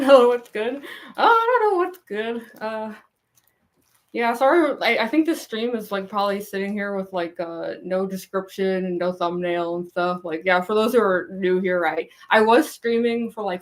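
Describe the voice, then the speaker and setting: A young woman with a rusty, gruff voice and a goofy, frequent laugh chats with her livestream viewers. She rambles in a casual way, full of fillers and little restarts. Her pitch sits in the middle of the usual range for a woman. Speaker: cosplay livestreamer.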